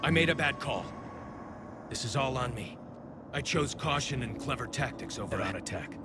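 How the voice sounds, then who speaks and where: A man's voice: mid-range voice, animated, moderate pace, loud music behind the voice, close to an everyday voice. A man voicing a dutiful, remorseful alien soldier in a video game, formal and earnest in a military way. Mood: sombre, regretful. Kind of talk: dramatic video game acting. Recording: noisy battlefield ambience behind voice.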